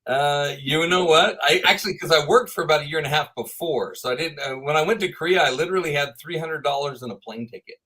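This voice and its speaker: Texan man in his fifties, bright, higher voice, animated storyteller, laughs often. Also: fast, hardly any pauses, slightly rough. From Texas. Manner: animated, laughs while telling stories. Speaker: podcast guest. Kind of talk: storytelling interview chat.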